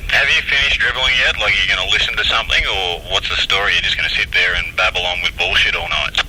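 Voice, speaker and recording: A man's voice: low-pitched, quick pace. An Australian man arguing over two-way radio in a blunt, scolding way. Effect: two-way radio transmission. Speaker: CB radio operator. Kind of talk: argumentative radio exchange. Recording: faintly noisy UHF CB radio.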